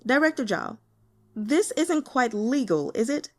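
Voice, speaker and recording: An arrogant male colleague in an audiobook, voiced by a woman, sounding wry and amused. He teases knowingly, with lively movement in pitch. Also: measured pace, exaggerated voice. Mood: wry, teasing.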